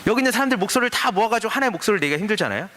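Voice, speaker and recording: A man speaks at a forum, his fairly high, bright voice moving a lot in pitch. He explains step by step and poses rhetorical questions to the audience. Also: lively intonation, rapid-fire. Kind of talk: conference presentation. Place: conference stage.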